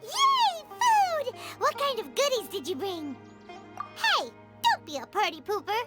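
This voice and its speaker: A tiny floating fairy sidekick from a video game, with an extremely high, squeaky child's voice. The delivery is bubbly and emphatic, swinging wildly up and down in pitch. Prominent game music plays behind. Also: female voice, wildly swooping pitch, a little slow, full character voice. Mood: excited, then chiding. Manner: bubbly, emphatic, refers to self in third person. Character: tiny floating fairy companion, childlike, excitable, food-loving. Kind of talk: video game character acting.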